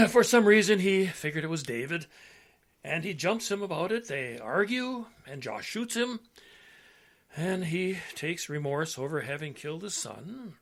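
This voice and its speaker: Animated high-pitched police lieutenant played by a man. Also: measured pace, creaky, heavily acted. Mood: argumentative. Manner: emphatic, colloquial, argumentative. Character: opinionated, folksy male police lieutenant, sure of his theory. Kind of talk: old-time radio drama acting.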